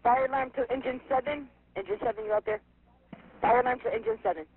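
High-pitched, gravelly male fire dispatcher. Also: lively intonation, quick pace. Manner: clipped, procedural, repeats messages back. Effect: two-way radio transmission. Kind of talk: radio dispatch announcements. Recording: dull, lo-fi radio scanner audio, faint noise.

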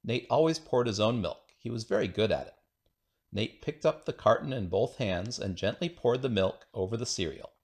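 A man reads a picture book aloud to children. His voice sits on the low side and swings widely in pitch, with an expressive storyteller's delivery. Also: normal speed. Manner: expressive storytelling with acted dialogue. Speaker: storybook reader.

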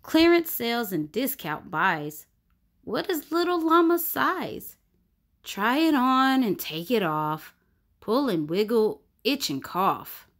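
A woman reading a rhyming picture book aloud for children in an expressive, sing-song way. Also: a little high, slow pace. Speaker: children's story reader. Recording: music bed under the voice. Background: background music.

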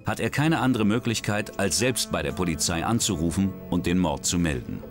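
A deep-voiced man narrates a crime documentary in a measured, dramatic way. His low voice rises and falls widely. Music plays clearly behind him. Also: lively intonation. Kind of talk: scripted true-crime narration. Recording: studio voice-over with music behind.

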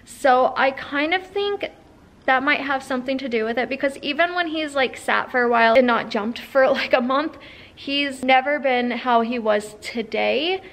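A woman's voice: high voice, normal speed, a bit bright. A young woman talking casually to a phone held at arm's length, standing in an indoor barn aisle beside her horse. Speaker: horse trainer and vlogger. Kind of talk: casual vlog talk to a selfie camera.

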